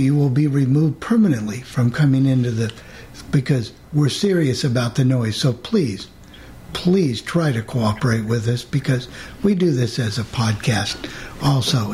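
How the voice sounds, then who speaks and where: A man's voice: medium pitch, a little slow, mellow. A man hosting a live radio panel show in a casual, conversational way. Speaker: host of a radio hobbyist show.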